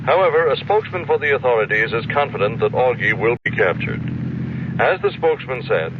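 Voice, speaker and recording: An actor playing a formal, urgent news announcer reading a bulletin in an old-time radio drama. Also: male voice, medium pitch, very animated, a little fast. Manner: formal, declarative. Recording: dull, noisy old radio recording.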